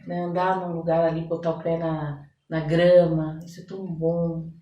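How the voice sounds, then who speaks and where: Low-voiced Brazilian woman, echoey and distant. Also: little pitch movement, normal speed, warm tone, highs cut off. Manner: explanatory, illustrates points with acted-out quotes. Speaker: podcast guest, therapist and oracle card reader. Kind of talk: conversational interview talk. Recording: roomy echo, distant microphone.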